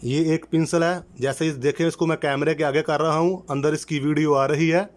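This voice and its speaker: A man with a slightly high voice walks through a technical installation one step at a time. He is methodical and instructional, restating key terms so each step is clear. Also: fairly even intonation, brisk. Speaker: electrician presenting a how-to channel. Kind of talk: step-by-step product installation tutorial.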